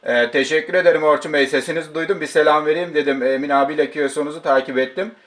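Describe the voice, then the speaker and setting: A man's voice: fairly high pitch, fairly even intonation, fast, a bit bright. A man working as an amateur radio operator, speaking politely and courteously as he exchanges call signs and short radio messages.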